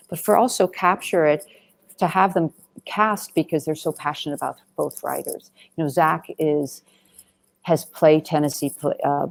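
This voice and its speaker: Very low, gravelly-voiced woman. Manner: discursive, often restarts and rephrases, emphatic repetition. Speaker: film director answering questions. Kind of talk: conversational interview answers.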